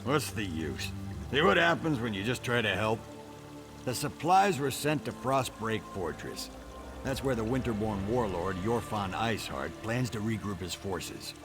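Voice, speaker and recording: A shifty male orc huckster for a fantasy game. His delivery is gravelly and swings up and down in animated bluster, smooth-talking and self-righteous, with music playing behind him. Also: lowish voice, measured pace. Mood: bitter, resigned. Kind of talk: video game character acting.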